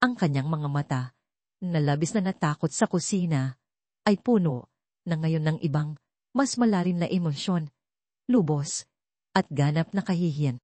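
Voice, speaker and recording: Mellow, dry-voiced woman narrating a story. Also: sits low, animated, moderate pace, long pauses, no echo. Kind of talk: scripted dramatic narration.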